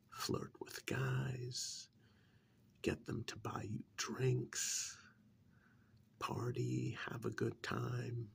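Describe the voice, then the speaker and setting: A man playing a calm, menacing killer in a soft-spoken ASMR horror role-play, hushed and deliberate, with a condescending, musing manner. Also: on the low side, heavily acted. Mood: cold, scolding. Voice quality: breathy half-whisper with gravelly creak. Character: calm, menacing male killer who preserves victims as art.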